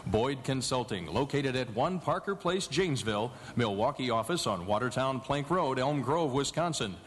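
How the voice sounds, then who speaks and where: A man's voice: mid-range voice, animated, normal speed. A man reading scripted sponsor spots as the announcer of a live radio show. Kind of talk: scripted radio advertising read. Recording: live hall reverb. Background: music.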